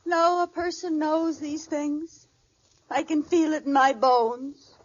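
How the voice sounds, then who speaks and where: An actress playing a dramatic, scheming housewife in a theatrical 1940s radio sitcom style, on an old recording. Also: female voice, high voice, steady tone, slow. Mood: solemn confessing. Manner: melodramatic, theatrical. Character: dramatic scheming housewife who believes she is dying. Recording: muffled, noisy old-time radio recording.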